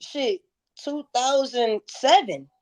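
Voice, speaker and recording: A woman's voice: sits high, lively intonation, takes it slowly, a little mellow. A woman in her thirties talking candidly and casually in an interview, with frank, slangy, profanity-laced storytelling. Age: 30s. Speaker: interviewed guest, music performer.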